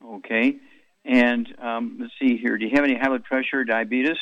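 A man hosting a radio health call-in show, telling rambling stories and firing brisk questions at callers. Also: mid-range voice, fairly even intonation, a bit bright, dry recording, lo-fi sound.

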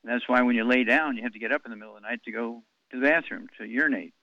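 A man's voice: medium pitch, very fast, no room sound, muffled recording. A man hosting a call-in health show on the radio, blunt and wisecracking, rattling off long lists of advice.

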